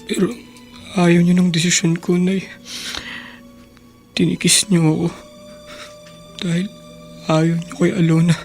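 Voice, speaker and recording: An actor playing a destitute, stubborn but remorseful teenage son in a Filipino radio drama, with a gravelly voice and a halting, plaintive delivery. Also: mid-range voice, flat delivery, a little mellow, close to an everyday voice. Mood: hurt. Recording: background music under the voice.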